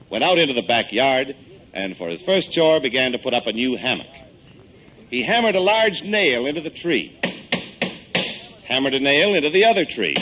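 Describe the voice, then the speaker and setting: A man's voice: mid-range voice, fairly bright tone. A man with an American accent reading scripted narration as a 1950s radio announcer. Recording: noisy old radio recording.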